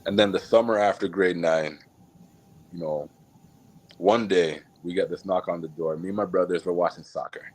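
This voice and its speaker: A man from Jamaica, a podcast guest, telling his life story in a relaxed, reflective way with many fillers and small laughs. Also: sits low, normal speed, low-quality recording. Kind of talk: casual storytelling interview.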